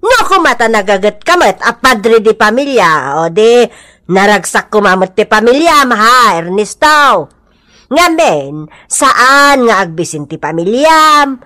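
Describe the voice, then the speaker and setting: Scolding, insistent older village auntie for radio drama; high, sharp, lively voice. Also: female voice, exaggerated voice. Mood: insistent, exasperated. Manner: scolding, insistent, drawn-out questioning. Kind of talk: Ilocano radio comedy drama acting.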